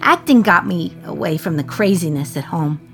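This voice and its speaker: A woman's voice: lowish voice, animated, normal speed, creaky, a bit bright, faint music behind. An actress playing a sardonic, resentful jailed woman in her thirties from 1950s New York, confiding her memories in a radio play monologue. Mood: fond, wistful.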